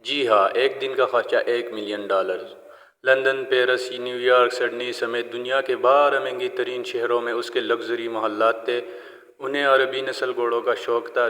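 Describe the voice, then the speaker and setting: A man's voice: medium pitch, a bit bright. A man narrating a scripted moral story in a solemn, declamatory storyteller's manner. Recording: long hall-like reverb on the voice.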